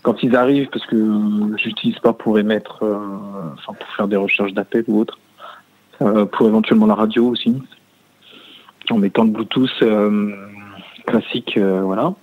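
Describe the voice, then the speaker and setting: A man giving casual feedback as a customer, halting often to search for words. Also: on the low side, fairly even intonation. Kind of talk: casual spoken phone feedback. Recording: tinny, narrow phone line.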